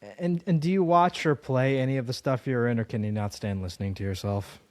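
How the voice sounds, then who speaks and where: A man's voice: mid-range voice, fairly quick, darkish tone, no echo, clean studio sound. A man hosting a casual interview in an enthusiastic, conversational way. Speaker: interviewer, host.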